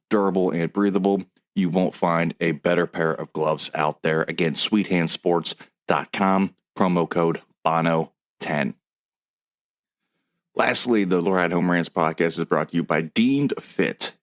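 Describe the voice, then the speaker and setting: A man hosting a casual sports podcast over a video call, reading sponsor spots and chatting with upbeat energy. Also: low voice. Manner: upbeat, reads sponsor spots then chats. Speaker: podcast host.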